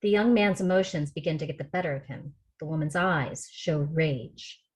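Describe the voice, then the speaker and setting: A woman's voice: sits low, moderate pace. A woman reading stage directions as the narrator at a film script table read. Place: remote video call rehearsal.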